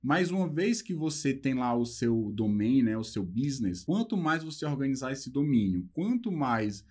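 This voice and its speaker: A young man with a Brazilian Portuguese accent explaining software design in a relaxed lesson, using fillers and pausing to translate a book. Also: medium pitch, breathy edge. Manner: talks loosely with fillers, slows down reading English passages. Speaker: programming instructor.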